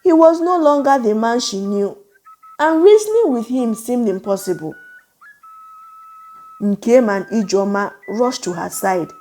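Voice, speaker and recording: A woman narrating a scripted folktale in a measured, suspenseful, dramatic way. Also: a little high, expressive, warm tone. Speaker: storyteller, narrator.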